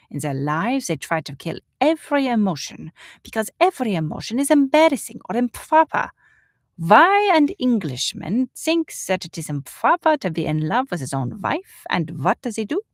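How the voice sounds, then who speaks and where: A woman's voice: fairly low pitch, dry recording, clean studio sound. A woman voicing a disgusted, deadly earnest male German waiter who lectures emphatically in a German accent, as in an audiobook. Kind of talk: audiobook character voice.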